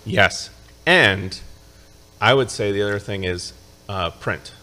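A man's voice: lowish voice, takes it slowly, bright, forceful tone. A man from upstate New York hosting a live show, chatting casually with a guest and wrapping up with energy. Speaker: show host.

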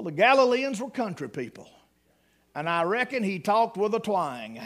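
A man preaching, his voice sitting high for a man. He tells the scripture like a folksy story, slipping in jokes and climbing to loud, emphatic peaks. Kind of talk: sermon.

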